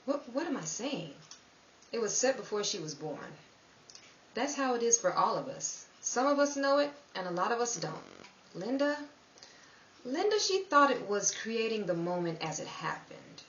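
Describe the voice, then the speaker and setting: A dull, roomy recording of an actress as a world-weary woman in a noir radio play. Her mid-pitched voice moves expressively, wry, guarded and fatalistic. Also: sing-song, moderate pace, fairly bright tone. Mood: rueful, reflective. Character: world-weary, guarded sister and manager, narrating grimly. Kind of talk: 1930s radio noir narration and acting. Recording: dull, roomy, distant mic, faint noise.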